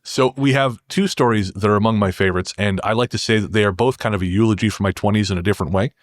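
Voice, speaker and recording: A man's voice: fairly low pitch, quick pace, no room sound. A man speaking as a podcast guest, telling personal stories in a casual, reflective and wry way. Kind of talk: casual conversational storytelling.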